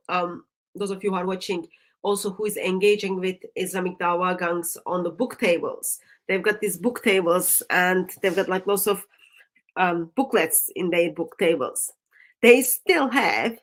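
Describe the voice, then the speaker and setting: A woman with a Turkish accent, a native Turkish speaker, hosting a livestream discussion and talking haltingly with many ums. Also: lowish voice. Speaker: stream host.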